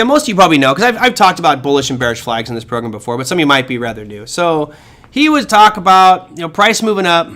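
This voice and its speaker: A man's voice: mid-range voice, sharp tone. A man hosting a live trading show, answering viewer questions in a chatty, rambling, self-deprecating way.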